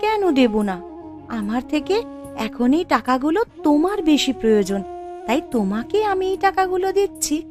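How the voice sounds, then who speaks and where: A woman voicing a tender-hearted young village woman in a cartoon family drama, with earnest, emotive, drawn-out delivery. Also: sits high, big pitch swings. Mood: caring, reassuring. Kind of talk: melodramatic cartoon voice acting. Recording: music behind the voice.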